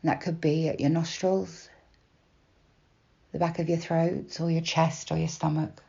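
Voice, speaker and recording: Female meditation guide, low register, soft and unhurried delivery, plenty of pauses, slightly dull recording. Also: level tone, vocal fry. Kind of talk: guided body scan meditation, gentle instruction.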